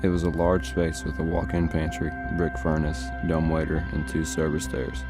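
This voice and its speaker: A man playing a measured storybook narrator, reading scripted narration in a radio play. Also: rumbling low, monotone, over loud music.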